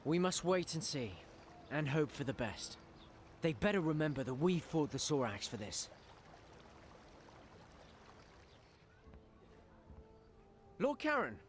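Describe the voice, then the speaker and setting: A man's voice: fairly high pitch, moderate pace, slight background noise. An actor playing a plain-spoken, earnest young male adventurer in a fantasy video game. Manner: earnest, animated.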